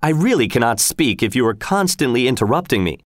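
A man voicing a pompous, self-important Swiss gentleman who lectures his friends. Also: mid-range voice, very animated, a little fast, no room sound, studio quality, lightly acted. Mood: severe. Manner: pompous, fussy.

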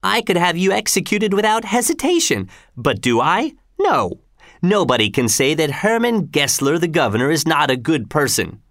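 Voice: mid-range voice, sing-song, normal speed, fairly bright tone, dry recording, clean studio sound, pitched above the performer's own voice. A narrator voicing a cruel male tyrant governor in an audiobook, mocking, commanding and falsely reasonable. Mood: impatient, self-satisfied.

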